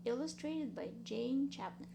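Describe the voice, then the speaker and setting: A woman's voice: high-pitched, lively intonation, measured pace, vocal fry, background noise. A woman reading a children's story aloud in a rhythmic, dramatic sing-song way. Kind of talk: expressive children's read-aloud.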